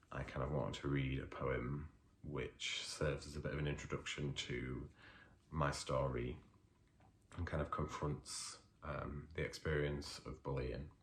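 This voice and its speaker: A man's voice: rumbling low, level tone, slight background noise. A man, working as a poet, gives a hesitant introduction full of fillers and then reads his poems aloud. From Leeds, England. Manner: hesitant, unhurried talk with many fillers. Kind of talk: introduction and poetry reading.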